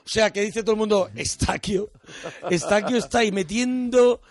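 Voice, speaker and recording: A man with a Spanish accent hosting a comic radio chat, teasing a guest in quick banter. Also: high-pitched, no room sound, studio quality. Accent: Spanish (Spain). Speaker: radio host.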